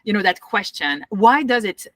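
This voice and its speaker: A woman with a French accent hosting an interview, chatty and animated. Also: medium pitch, moderate pace, dry recording. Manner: animated, chatty, with laughter and fillers. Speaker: podcast host. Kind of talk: interview conversation.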